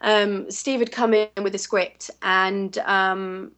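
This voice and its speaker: A woman's voice: medium pitch, steady tone, takes it slowly, fairly bright tone. An English woman, a voice actress, chatting casually in an interview and rambling through fillers and restarts. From England.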